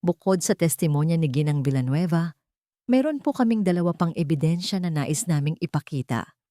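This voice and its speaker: A woman's voice: sits low, expressive, brisk, dark tone, no echo, studio quality. A woman voicing a firm, composed attorney addressing a court in a dramatic audio story. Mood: formal, assured. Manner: firm, formal.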